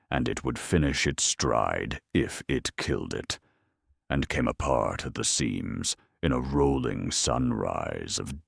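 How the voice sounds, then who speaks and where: Extremely deep, gravelly male storyteller. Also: no echo, studio quality. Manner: grave, measured storytelling with wry deadpan humour. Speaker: narrator. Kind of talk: dramatic scripted narration of a grimdark war story.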